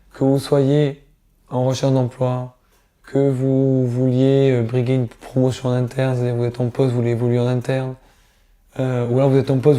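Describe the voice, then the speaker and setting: Hoarse man coaching viewers, very dark voice. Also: medium pitch. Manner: direct, coaxing, speaks straight to viewers. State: hoarse from illness. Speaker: career coach. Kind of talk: casual advice talk to camera.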